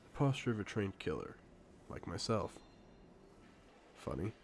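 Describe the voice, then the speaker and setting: A man playing a suave, confident secret agent in a spy audio drama, speaking in a playful, theatrical, deliberate way. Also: low voice, sing-song, a little hiss or hum, full character voice. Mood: wry, composed. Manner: smooth-talking, playful, theatrical, deliberate phrasing. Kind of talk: scripted one-sided audio roleplay, spy drama.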